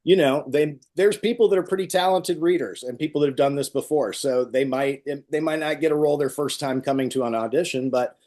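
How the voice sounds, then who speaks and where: A man's voice: on the high side, brisk, hardly any pauses, a little mellow. Man chatting casually as an interview guest. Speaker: guest, amateur stage actor.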